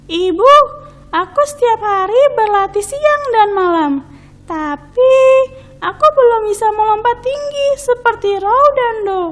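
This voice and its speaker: A woman voicing a humble, hardworking young boy frog with an eager, childlike, expressive delivery in a children's storybook. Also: very high-pitched, unhurried, voice pushed up. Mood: sad, confessing.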